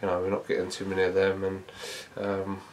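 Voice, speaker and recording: A man, a football manager giving a measured post-match interview, thinking aloud with many fillers. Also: sits low, monotone, a little mellow, slight background noise. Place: small indoor press room before a sponsor board.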